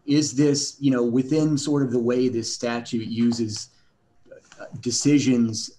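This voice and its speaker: Middle-aged judge pressing counsel. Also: male voice, medium pitch, little pitch movement, measured pace, mellow. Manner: probing, pressing, conversational questions. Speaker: appellate judge. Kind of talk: questioning counsel at oral argument. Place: courtroom bench, indoors.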